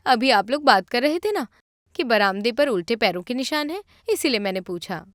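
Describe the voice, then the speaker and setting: A woman voicing a sly witch disguised as a quiet new bride in an animated story. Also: fairly high pitch, moderate pace, dry recording, clean studio sound, lightly acted. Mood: sly, probing.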